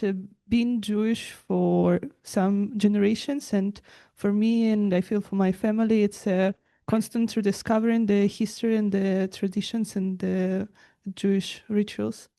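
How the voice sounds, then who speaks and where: A woman from Belarus, an educator, answering as a panel guest in a thoughtful, measured way. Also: mid-range voice, warm tone.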